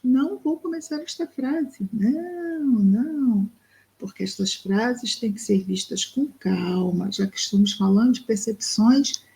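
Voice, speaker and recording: Mellow-voiced woman explaining a text slowly. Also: a little high, creaky, rough recording. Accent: Brazilian Portuguese. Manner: thoughtful, explains slowly with pauses and fillers. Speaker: study group presenter. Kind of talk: reading and explaining a spiritist book.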